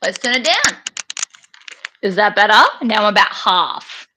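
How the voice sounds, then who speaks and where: A woman hosting a live sew-along from her sewing room, chatting loudly and excitably over a headset on a video call. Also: mid-range voice, measured pace, noisy background. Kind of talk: casual live-stream chat. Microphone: headphones with mic on a video call.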